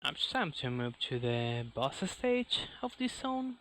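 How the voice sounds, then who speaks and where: A man's voice: medium pitch, big pitch swings, a little slow, noisy background. A man giving casual live speedrun commentary in non-native English phrasing, with playful asides. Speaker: speedrunner commentating own run. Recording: loud game music behind. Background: loud video game music.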